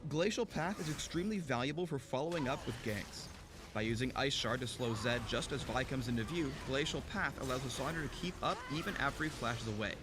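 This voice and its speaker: Fast-talking male game guide narrator. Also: medium pitch, background noise. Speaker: game champion spotlight narrator.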